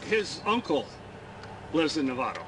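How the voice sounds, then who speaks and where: A man's voice: a little high, big pitch swings, moderate pace. A man from Michigan with a Midwestern US accent, a driver pulled over at a traffic stop who answers hesitantly and stammers. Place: roadside by a highway. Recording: loud traffic noise, voice off-mic.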